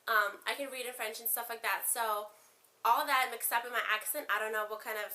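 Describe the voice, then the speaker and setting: A woman's voice: a little high, fairly even intonation, fairly quick, sharp tone. A young woman from Ukraine with a Ukrainian accent, first language Spanish, chatting casually to camera as a beauty vlogger, rambling with lots of "like" and "um".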